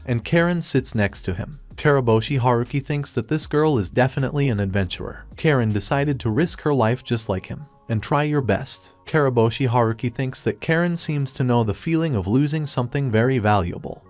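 A man narrating a manga story recap in an even, flat third-person retelling with stiff, translated-sounding phrasing. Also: mid-range voice, brisk, few pauses, soft background music.

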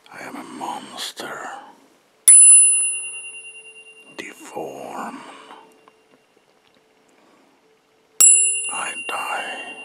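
Man playing a whispering, very slow brooding vampire. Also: extremely low pitch, vocal fry, full character voice. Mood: brooding, anguished. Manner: slow, hushed, halting, theatrical. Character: brooding tormented vampire, haunted by voices. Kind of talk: whispered ASMR roleplay.

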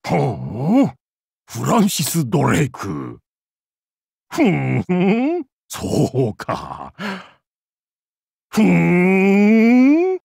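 Raspy, goofy nerd pirate. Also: male voice, high voice, huge pitch swings, normal speed, a bit bright, no room sound, studio quality, heavily acted. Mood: sullen. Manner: clownish, slangy, theatrical. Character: goofy, creepy nerdy middle-aged bearded male pirate. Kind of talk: anime game character lines.

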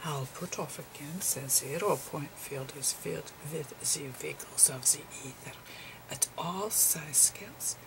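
A woman voicing an eccentric, digressive physicist professor in a whispery, halting ASMR roleplay lecture. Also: deep voice, background noise. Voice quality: whispery, breathy. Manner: halting, pedantic, rambling.

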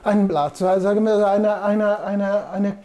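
A man in his forties with an Italian accent, a native Italian speaker, giving a casual, halting, self-deprecating demonstration in a gravelly voice. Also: high-pitched, steady tone, mellow. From Italy. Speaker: presenter demonstrating espresso machines. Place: small indoor coffee showroom. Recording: noticeable background room noise.

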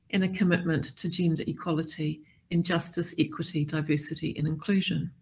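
Middle-aged woman, keynote speaker, husky low voice, deliberate and measured. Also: poor audio. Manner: measured, deliberate delivery with listed points. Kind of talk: formal prepared keynote address.

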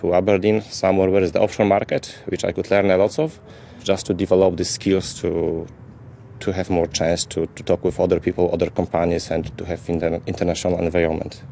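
A Polish-accented man talks in an interview with a very low, gravelly, dark voice. His English is halting, restarting phrases as he searches for words, with a faint hiss underneath. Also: little pitch movement. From Poland. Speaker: interviewee, ship designer.

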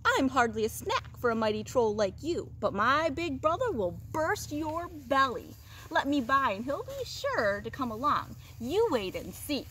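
An eager middle billy goat brother from a children's story, voiced by a woman. He pipes up boastfully and persuasively in a high voice, over faint outdoor noise. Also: very animated, a little slow, fairly bright tone, full character voice. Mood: boastful pleading. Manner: piping, persuasive. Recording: noisy outdoor recording.

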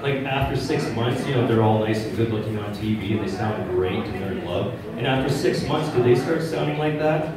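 A man's voice: fairly low pitch, a little fast, few pauses, distant mic. A middle-aged man born in Czechoslovakia, without an accent, doing a crude stand-up set in a casual, conversational way. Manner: conversational, crude, asks the crowd "right?". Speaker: stand-up comedian. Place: small pub comedy stage with audience. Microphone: handheld mic, filmed from far back. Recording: roomy pub sound from afar. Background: loud crowd laughter.

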